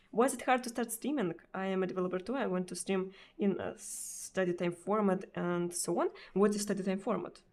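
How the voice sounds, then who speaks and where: A woman's voice: medium pitch, moderate pace, darkish tone, slight background noise. A Russian woman streamer speaking English with a Russian accent in casual chat, hesitant, with many fillers and repeated words. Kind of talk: casual chat answering viewers.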